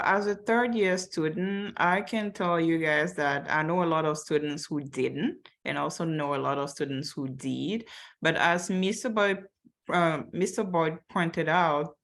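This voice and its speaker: A woman from Cameroon in her twenties with a low-pitched voice moderates a webinar. She reads out audience questions and chimes in chattily with her own experience as a medical student. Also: measured pace, fairly bright tone. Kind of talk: reading questions from chat.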